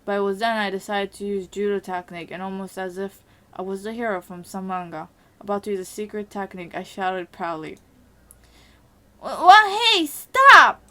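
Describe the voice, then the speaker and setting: A woman's voice: mid-range voice, level tone, a little fast. Woman narrating a visual novel. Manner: reads steadily with small slips and restarts. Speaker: amateur voice actor.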